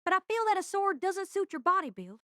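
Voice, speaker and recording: A woman's voice: very high voice, sing-song, a little fast, bright, forceful tone, dry recording, studio quality, voice pushed up, heavily acted. A woman voicing a fussy, nagging teenage boy technician who talks in a fretful, hesitant manner. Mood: fussy, dissatisfied.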